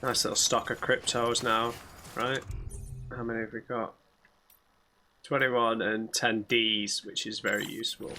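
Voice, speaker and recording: A hoarse man commenting casually on a story game as he plays it, musing aloud and chatting to his viewers. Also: mid-range voice, level tone, a little slow, long pauses, slight background noise. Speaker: let's play gamer. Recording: loud game gunfire and vehicles behind voice.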